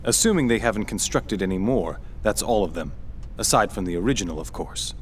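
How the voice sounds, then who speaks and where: Actor as composed, terse game officer; lowish voice, serious delivery, faint rumble behind. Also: male voice, animated, brisk, lightly acted. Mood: serious, guarded. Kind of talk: dramatic game cutscene dialogue.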